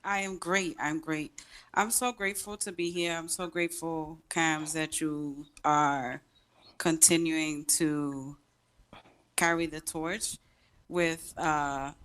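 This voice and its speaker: A woman with a somewhat low voice joins an online talk as a guest. She speaks earnestly and warmly thanks her hosts. Her audio comes through a video call. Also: fairly even intonation, takes it slowly, clean tone. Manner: earnest, grateful. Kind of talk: casual online chat.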